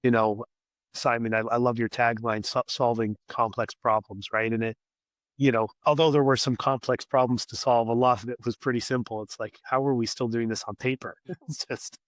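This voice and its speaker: A Canadian man being interviewed on a podcast, raspy as he loses his voice, thinking aloud with repeated words. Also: mid-range voice, fairly even intonation, normal speed, dry recording. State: losing his voice. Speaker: podcast guest, scaffolding company executive. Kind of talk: conversational interview.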